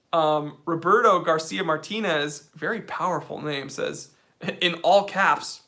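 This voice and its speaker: A man hosting a casual live-stream Q&A, reading chat questions aloud and answering in a relaxed, slangy way. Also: high-pitched. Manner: laid-back, slangy, reads chat aloud.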